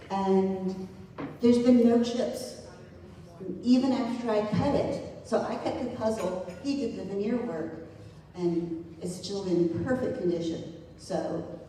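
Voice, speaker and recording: A woman's voice: medium pitch, mellow. A woman presenting contributors to a live audience, speaking hesitantly with many fillers as she reads from notes. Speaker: event organizer presenting contributors. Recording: echoey hall, distant mic, faint noise.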